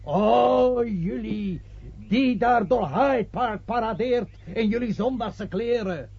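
An actor playing a fervent street preacher who declaims loudly and accusingly in a radio play. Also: male voice, extremely high pitch, slow, slightly rough, no echo, exaggerated voice. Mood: fervent, scolding. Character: fervent male street preacher warning sinners of God's wrath. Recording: dull old radio play recording.

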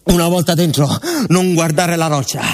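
A man acts out a stern male mine foreman in a radio play. The voice is pushed up high and barks clipped, commanding orders that come round again and again. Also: steady tone, normal speed, a little mellow, lightly acted. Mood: commanding, urgent. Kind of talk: radio theatre monologue.

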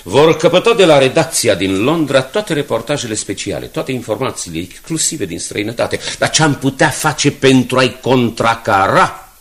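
An actor playing a resolute, composed newspaper director in a Romanian radio drama, speaking with deliberate authority. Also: male voice, mid-range voice, expressive, a bit bright, natural voice. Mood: measured, questioning. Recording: noisy 1980s radio drama with clicks.

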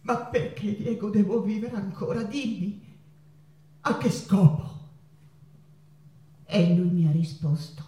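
An actress playing an ailing, passionate woman painter in a theatrical monologue, halting and repeating her words with dramatic pauses. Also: medium pitch, dark tone, lightly acted. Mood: haunted, weary. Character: ailing, passionate woman painter, tormented yet playful, in love. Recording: roomy, distant stage pickup with guitar. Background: plucked guitar music.

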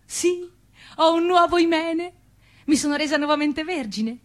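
A giddy, blithely candid girlfriend from a stage comedy, acted by a woman. Her voice is extremely high, and she confides in a giggly, girlish way. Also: measured pace. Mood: giddy, coy.

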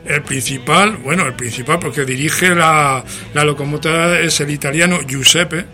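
A man's voice: a little high, moderate pace, bright, forceful tone. A man with an accent from Spain hosting a show in a chatty, jocular, theatrical way, full of exclamations. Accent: Spanish from Spain. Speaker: radio show host. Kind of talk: chatty, playful show presentation. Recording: music playing behind the voice. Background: music.

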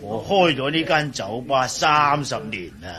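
Genial chuckling older barkeeper, Cantonese. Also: male voice, medium pitch, expressive, unhurried, pushed and bright. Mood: genial, admiring. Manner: chuckling, deferential, hesitant exclamations. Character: genial older male bar owner, chuckling and obliging. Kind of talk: radio drama acting. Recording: crowd chatter behind voice.

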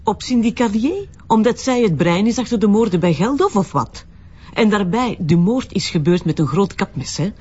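Stern deputy prosecutor: female, Flemish, husky, challenging, crime radio play on dull audio. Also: mid-range voice, lively intonation, a little mellow. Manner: brisk, challenging. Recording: dull lo-fi sound.

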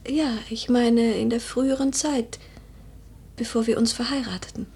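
A woman playing a wistful, proud noble widow in a German literary radio play, measured and poetic with hushed, breathy phrases. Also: fairly high pitch, fairly even intonation, a little hiss or hum, natural voice. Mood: melancholy, guarded.

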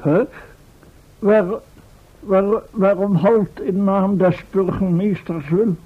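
An actor playing a pompous, flustered professor in a radio drama, speaking formally and haltingly. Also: male voice, high voice, steady tone, slightly rough, heavily acted. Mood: indignant. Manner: formal, halting, repeats his words. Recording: tinny, noisy old radio play tape.

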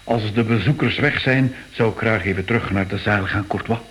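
An actor playing an emotional, awestruck art lover in a radio drama, exclamatory. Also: male voice, on the low side, brisk, fairly bright tone, a little hiss or hum, close to an everyday voice. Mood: awed, rapturous.

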